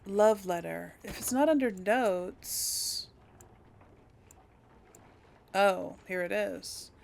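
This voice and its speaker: Woman, gaming host, unhurried, creaky voice, casual musing commentary, faint noise behind. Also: medium pitch, a little mellow. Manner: musing, talks to herself while playing. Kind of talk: casual let's play commentary.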